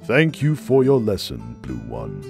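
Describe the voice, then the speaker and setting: A stately male buffalo chief from a cartoon , played by a man. He talks slowly and gravely, with words that feel ceremonial. His pitch rises and falls dramatically. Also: huge pitch swings, a little mellow, faint music behind, heavily acted. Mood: solemn. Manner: slow, grave, ceremonial speech. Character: solemn male buffalo chief, proud and formal. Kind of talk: fan animation voice acting.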